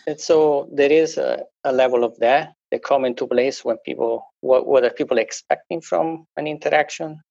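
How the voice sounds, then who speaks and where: Man, gravelly, english as a second language, hesitant, restarts often, dry muffled lo-fi sound. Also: medium pitch, steady tone, normal speed, hardly any pauses, a little mellow, no echo. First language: not English. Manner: hesitant, many restarts and fillers. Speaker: guest. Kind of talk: conversational interview talk.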